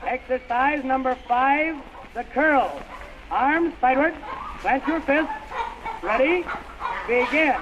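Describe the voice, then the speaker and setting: An actor playing an orderly, commanding exercise instructor on old-time radio, giving clipped commands and measured counts. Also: male voice, very high voice, lively intonation, highs cut off, noisy background, heavily acted.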